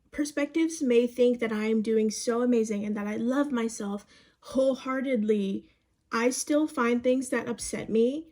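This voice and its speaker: Bubbly young woman, upbeat and high. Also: darkish tone. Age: young adult. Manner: chatty and encouraging, says "babes" and "you guys". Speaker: vlogger giving advice. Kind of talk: casual advice to camera. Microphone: phone camera.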